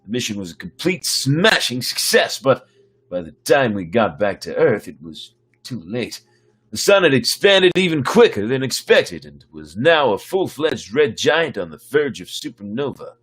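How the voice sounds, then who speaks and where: A man with a husky voice reading a story aloud as dramatic narration. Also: medium pitch, very animated, moderate pace, fairly bright tone. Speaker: storyteller reading his own sci-fi story on a stream.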